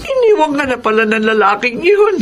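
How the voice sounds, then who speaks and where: A woman's voice: sits high, big pitch swings, a little fast. A woman playing a devout older mother in a Filipino radio drama, pleading and consoling in a sorrowful way. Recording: faint background noise.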